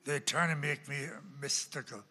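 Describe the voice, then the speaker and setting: A man with a Welsh accent tinged with Texan and Oklahoma, teaching a church class in a dramatic sermon style, booming on key words and dropping to hushed asides. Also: fairly even intonation, normal speed, a little hiss or hum. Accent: Welsh with Texan and Oklahoma traces. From Wales. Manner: dramatic, wide swings from loud emphasis to hushed asides. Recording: long hall reverb.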